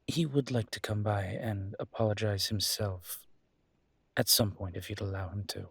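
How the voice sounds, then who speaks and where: Husky, low-voiced charming mafia boss played by a man. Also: fairly even intonation, no room sound, full character voice. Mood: smooth, persuasive. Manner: playful, polite, hesitant pauses and soft teasing. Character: charming, teasing male mafia boss flirting with a librarian. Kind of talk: roleplay audio drama, intimate one-sided dialogue.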